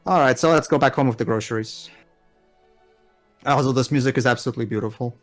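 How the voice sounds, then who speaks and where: A man's voice: medium pitch, fast, soft background music. A man commentating on a video game with friends, explaining its background and story in a casual way. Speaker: let's play commentator.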